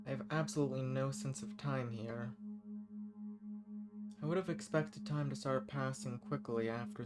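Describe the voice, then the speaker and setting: A man's voice: mid-range voice, lightly acted. A man voicing an ancient banished villain in an intimate audio roleplay, flirtatious and condescending, with smug, formal, teasing phrasing. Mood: curious, wistful.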